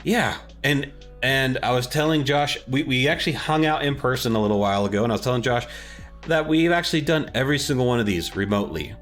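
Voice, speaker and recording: A man's voice: medium pitch, lively intonation, fast talker, a bit bright. A man co-hosting a podcast, chatting casually and enthusiastically in slangy, conversational language. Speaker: podcast co-host. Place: recorded remotely, separate from co-host. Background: background music.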